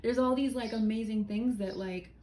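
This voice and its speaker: A woman actor with a slight Boston accent under neutral American speech, chatting casually as a podcast co-host. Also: normal speed. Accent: slight Boston, mostly neutral American. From Boston area. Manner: chatty, animated, drops into high put-on voices for quotes. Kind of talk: casual chat. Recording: roomy echo, faint background noise.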